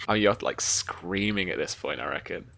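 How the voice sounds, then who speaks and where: Low, creaky-voiced game master. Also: moderate pace, a bit bright. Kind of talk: narrating a tabletop role-playing game.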